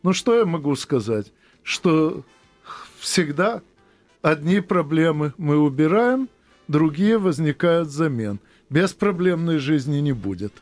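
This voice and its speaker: A man's voice: a little high, lively intonation, slow pace, a little mellow, no echo, faint music behind. A man hosting a radio call-in show, speaking in a dry, matter-of-fact way. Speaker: host.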